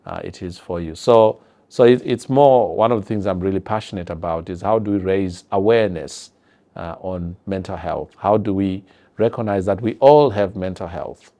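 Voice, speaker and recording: A man's voice: low voice, warm tone. A man with a Zimbabwean accent, a health professional giving an earnest, explanatory interview.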